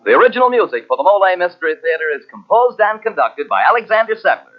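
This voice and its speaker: A man's voice: high-pitched, sing-song, fast talker. A man announcing on 1940s radio in a punchy, formal promotional style. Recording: muffled old radio recording.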